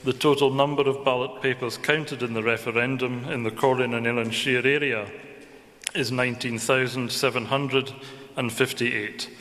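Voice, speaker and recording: A man announcing referendum results formally in a large hall, reading slowly in two languages. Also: medium pitch, steady tone, a bit bright. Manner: slow, measured official reading. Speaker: counting officer announcing results. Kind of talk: formal results declaration in Gaelic and English. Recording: long hall reverb.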